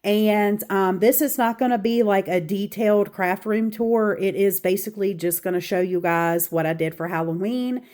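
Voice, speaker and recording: A woman's voice: mid-range voice, normal speed, hardly any pauses, a little mellow. A woman with a Southern American accent, congested and stuffy-nosed, chatting casually as she walks through her craft room for her vlog. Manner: chatty, with frequent ums and asides. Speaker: craft channel host. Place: home craft room, walking around.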